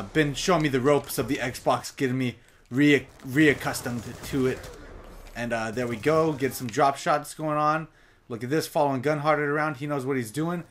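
A young man giving casual live commentary over his own gameplay, talking straight to his viewers, his voice a bit raspy from long sessions. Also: a little high, fairly bright tone. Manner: chatty, casual, addresses viewers directly.

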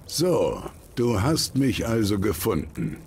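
An actor playing an older, estranged father in a video game, brooding and challenging, speaking deliberately. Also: lowish voice, wildly swooping pitch, vocal fry, a little mellow, slight background noise, full character voice. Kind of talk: dramatic video game acting.

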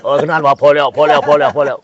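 Lively older Isan storyteller man. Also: on the high side, rapid-fire, no room sound. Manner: animated, mimics fights and voices. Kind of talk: comic folk storytelling chat. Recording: muffled lo-fi audio.

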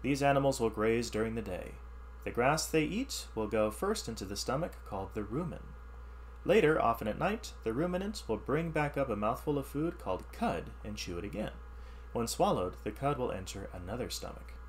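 Guy reading a science book out loud for class, lively up and down voice, stops to explain stuff, faint hum behind. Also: medium pitch, moderate pace. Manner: reads text then explains in plain words. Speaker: teacher reading a science ebook aloud. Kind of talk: read-aloud lesson with asides.

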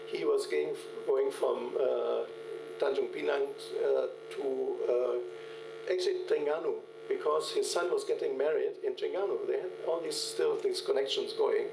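An older man giving a keynote lecture from a podium, telling field anecdotes in a halting way with many fillers and restarts. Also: mid-range voice, creaky. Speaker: keynote speaker. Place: large lecture hall at a podium. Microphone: podium microphone, recorded from the hall. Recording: echoey hall, distant, mains hum.

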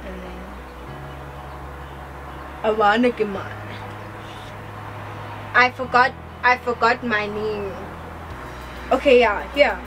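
A woman's voice: a little high, takes it slowly, long pauses, music in the background, rough recording. A teenage girl chatting casually on a couch with her father, rambling with lots of fillers and giggles. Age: teens. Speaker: daughter on a couch chat. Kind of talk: casual chat. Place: living room, on a couch.

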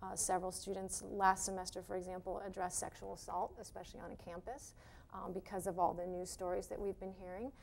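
A middle-aged woman, an art instructor, answering interview questions in a measured, hesitant way on a TV studio set. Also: medium pitch, level tone, gravelly, a little mellow.

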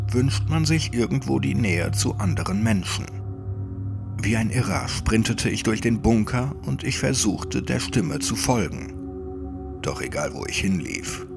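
A man narrating a scripted first-person horror story like an audiobook, in a measured, suspenseful manner. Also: on the low side, creaky.

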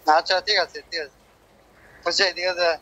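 A man's voice: high voice, fairly even intonation, very fast, pushed and bright. Man chatting over a muffled phone speaker. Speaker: prank call recipient. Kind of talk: casual phone chat. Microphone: phone call on speaker. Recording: dull lo-fi phone speaker audio.

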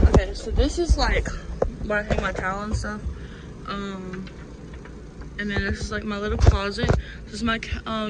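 A young adult woman giving a casual, unscripted home tour inside a camper in a rambling, offhand manner. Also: mid-range voice. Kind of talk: casual, unscripted show-and-tell. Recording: noisy handheld recording, steady background noise.

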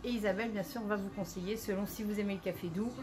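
A middle-aged woman hosting a casual cooking demonstration in a small shop, chatty, filling every pause with "so" and "of course". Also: medium pitch, steady tone. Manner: chatty, keeps saying "donc" and "bien sûr". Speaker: cooking show host.

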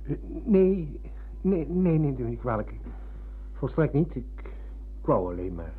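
Husky, polite, earnest male visitor. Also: mid-range voice, sing-song, unhurried. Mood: deferential. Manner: deferential, earnest. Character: polite, earnest visitor, former schoolmate seeking old acquaintances. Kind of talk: Dutch radio play acting. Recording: muffled phone-like old radio recording.

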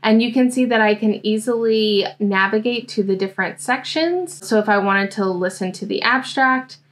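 A young American woman giving a casual software tutorial, explaining things step by step. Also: medium pitch, moderate pace. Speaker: host, academic coach demonstrating software. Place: indoor room, seated at a desk.

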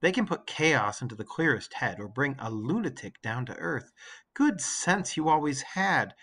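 A man playing a guarded, doubting queen in a story read aloud, subdued and measured. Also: mid-range voice, animated, a bit bright, breathy edge, pitched below the performer's own voice. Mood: doubtful, subdued. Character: guarded, doubting middle-aged queen and mother.

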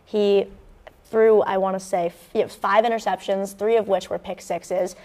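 A woman's voice: mid-range voice, fairly even intonation, normal speed, a little mellow. A young American woman co-hosting a student sports show in a conversational commentary style, on a lapel mic. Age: young adult, early 20s. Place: indoor campus TV studio at a desk.

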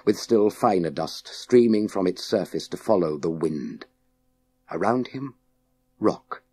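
A man reading a children's science fiction audiobook in a measured, suspenseful, dramatic way with deliberate pauses. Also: deep voice, a little mellow, husky. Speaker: audiobook narrator. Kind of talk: scripted dramatic narration of a children's sci-fi story.

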